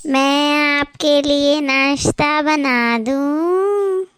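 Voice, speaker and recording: A performer voicing an oddly polite young bride who speaks in a soft singsong, in a cartoon. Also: high-pitched, fairly even intonation, drawn-out words, dry recording, studio quality, pitched below the performer's own voice, heavily acted. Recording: cartoon with music underneath.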